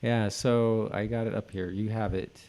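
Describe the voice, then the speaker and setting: Low-voiced man in halting podcast chat. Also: steady tone, warm tone. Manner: rambling, halting, self-correcting. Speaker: podcast co-host. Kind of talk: casual chat about a TV episode.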